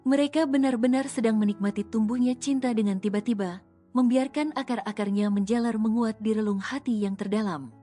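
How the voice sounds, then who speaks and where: A woman narrating a romance audio novel in a steady, smooth storytelling manner. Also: on the high side, brisk. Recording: soft music underneath.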